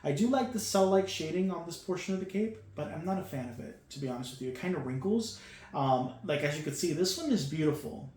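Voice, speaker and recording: A man's voice: a little high, expressive, fast talker, darkish tone. Gushing man unboxing toys, echoey room. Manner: enthusiastic, gushing, with frequent fillers. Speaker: toy reviewer. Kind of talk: casual unboxing commentary. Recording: echoey room with faint hum.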